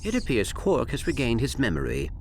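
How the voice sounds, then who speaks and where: A man's voice: mid-range voice, huge pitch swings, brisk, creaky, a little hiss or hum. A man playing a polite, literal-minded little robot sidekick with formal, precise, courteous diction. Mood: calm, courteous.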